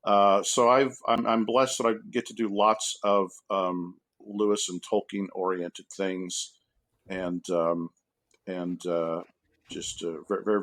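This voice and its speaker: Male professor guest, moderately low pitch, measured and hesitant, conversational podcast tone. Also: a bit bright. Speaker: academic guest, university professor. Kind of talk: casual podcast interview.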